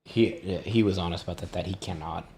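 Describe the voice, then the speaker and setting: A man running a tabletop game as game master, narrating casually in a halting, hesitant way. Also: low voice, darkish tone, slight background noise.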